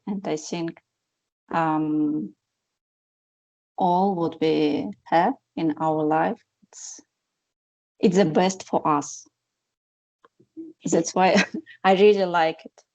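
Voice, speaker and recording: Slow, low-voiced hesitant woman. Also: poor audio. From Slovenia. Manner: hesitant, soft laughs.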